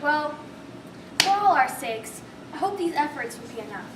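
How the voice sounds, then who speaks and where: A woman acts as a courteous news host in a puppet show. Her high voice swings animatedly through formal, recited lines, with clear background noise. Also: big pitch swings, normal speed. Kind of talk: scripted mock newscast. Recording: noisy amateur room recording.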